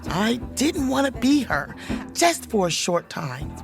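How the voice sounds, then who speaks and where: A woman's voice: a little high, sing-song, unhurried, gravelly, heavily acted. Candid off-duty space officer lady, natural voice, music under her, sci-fi radio play. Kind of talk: science fiction audio drama acting.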